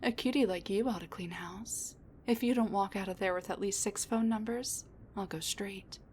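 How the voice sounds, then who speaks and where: A woman playing a sassy, rambling wounded supervillain with a soft side, talking intimately to the listener in a roleplay audio drama, with breathy asides and sighs. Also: medium pitch, moderate pace, slight background noise, lightly acted. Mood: teasing.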